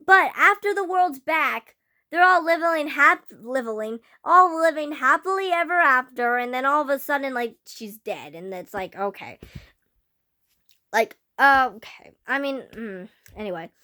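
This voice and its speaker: Rambling teenage girl, slightly hoarse. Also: high-pitched, a little slow. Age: teens. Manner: animated, rambling, many fillers. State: slightly hoarse, losing her voice. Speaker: vlogger. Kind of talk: casual fan rant to camera.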